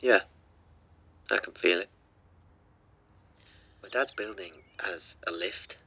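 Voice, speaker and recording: Bright-voiced, awkward teenage boy. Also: low voice, slightly rough, dry recording, full character voice. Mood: shy, tender. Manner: hesitant, stammering, halting. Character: awkward, tender teenage schoolboy, shy and unsure. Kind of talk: naturalistic audio drama dialogue.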